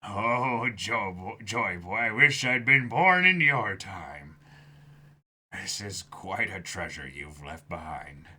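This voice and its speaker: A man doing a dramatic read-aloud mixed with casual reactions, theatrical and excitable as co-host of a stream. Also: lowish voice.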